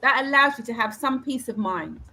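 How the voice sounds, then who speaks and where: A woman's voice: fairly high pitch, animated, moderate pace, pushed and bright, poor audio. A middle-aged woman from Trinidad with a Trinidadian accent, answering questions as a career coach in an online session in an earnest, encouraging way. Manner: encouraging, earnest advice-giving.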